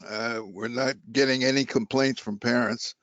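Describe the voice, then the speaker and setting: A man with a halting, hesitant delivery giving an informal board update in an online meeting. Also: mid-range voice, steady tone, a bit bright, no room sound. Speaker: school board member giving a district update. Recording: dull, lo-fi meeting audio.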